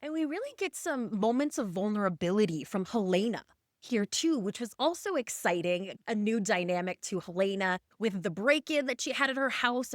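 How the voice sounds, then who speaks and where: A woman with a fairly high voice hosts a podcast. She is chatty and enthusiastic as she interviews her guests. The sound is dry and close, with no room echo. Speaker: podcast host.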